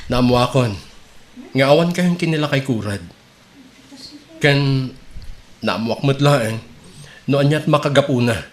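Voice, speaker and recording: A man's voice: mid-range voice, measured pace, pauses often, natural voice. An actor with an Ilocano Filipino accent playing a jilted, reproachful suitor who needles his beloved in a radio comedy drama. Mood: hurt, reproachful.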